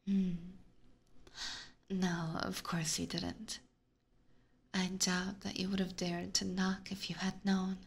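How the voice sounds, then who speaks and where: A woman's voice: lowish voice, little pitch movement, slow pace, creaky, pauses often, husky, heavily acted. A woman playing a haughty, teasing, predatory vampire in an ASMR roleplay, whispering breathily with formal, condescending diction close to a binaural microphone. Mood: teasing, knowing.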